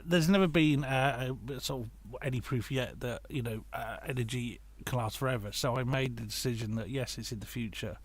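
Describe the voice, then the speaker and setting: A man's voice: medium pitch, moderate pace, few pauses. A man talking casually as a podcast guest, explaining his creative choices with repeated starts and self-corrections. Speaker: guest, audio drama writer and creator.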